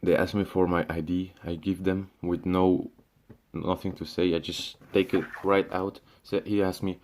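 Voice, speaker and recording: A young man from Syria rants to his camera with a very low voice. He talks casually and loosely, tripping over restarts and fillers, dropping swear words and "bro" as he goes. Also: little pitch movement, moderate pace, a little mellow. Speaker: vlogger. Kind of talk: casual ranting monologue.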